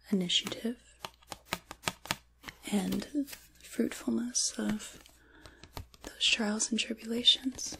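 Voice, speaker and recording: A woman playing a kindly, caring witch in a soft, intimate ASMR tarot roleplay, largely whispered, with a gentle, reassuring manner. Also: fairly high pitch, vocal fry, noisy background.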